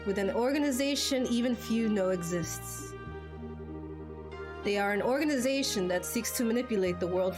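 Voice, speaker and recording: A woman voicing a grave, measured father and veteran fighter in game cutscenes, explaining things seriously. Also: medium pitch, lightly acted. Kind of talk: amateur game cutscene voice acting. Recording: music behind the voice.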